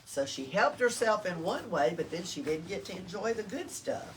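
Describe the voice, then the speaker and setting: A woman's voice: fairly low pitch, animated, normal speed. An older woman reads a story aloud to young children, explaining simply and prompting them with questions. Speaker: library storytime reader. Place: small-town library. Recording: distant mic with background noise.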